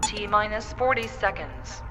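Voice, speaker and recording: A woman's recorded voice calls out a countdown in a flat, measured way over faint music. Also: medium pitch. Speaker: countdown announcer.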